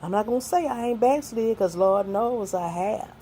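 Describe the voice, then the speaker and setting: A woman's voice: mid-range voice, lively intonation, normal speed, warm tone, slight background noise. A woman in her sixties, a single mother and grandmother, giving young people earnest, folksy life advice that mixes faith with plain street talk.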